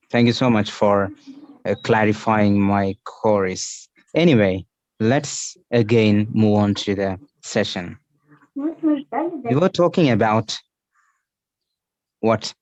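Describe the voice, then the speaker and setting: A man's voice: lowish voice, animated, takes it slowly, pauses often, darkish tone, dry recording, dull audio. A man with an Indian accent hosting an online English class over a video call, patiently explaining idioms and repeating the key phrases.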